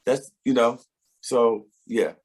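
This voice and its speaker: A middle-aged man with a husky, slightly breathy voice speaks at an ordinary pitch. He tells his story reflectively in a relaxed interview, laughing here and there and repeating words as he gets going. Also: takes it slowly. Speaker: singer and interview guest. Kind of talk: casual conversational interview.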